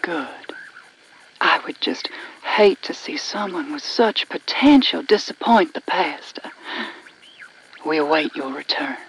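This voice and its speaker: A woman playing a sweetly menacing elderly cult elder in a video game, speaking in a soft, breathy, honeyed manner. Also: sits low, animated, slow pace, slight background noise, exaggerated voice, poor audio. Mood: quietly menacing. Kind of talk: video game cutscene acting.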